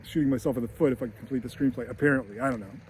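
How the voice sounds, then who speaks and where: A man's voice: medium pitch, very fast, darkish tone, rough recording. Middle-aged Boston man, casual vlogger, rambling and chatty, faint street noise behind. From United States. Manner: chatty and rambling, with laughs and restarts. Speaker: screenwriter and teacher. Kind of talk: casual vlog to camera. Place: outdoors near a beach.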